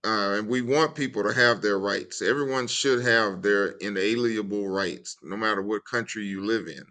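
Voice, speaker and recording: A man in his fifties, a podcast guest, giving measured and deliberate opinions. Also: fairly low pitch, bright, forceful tone. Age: 50s. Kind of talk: interview answers.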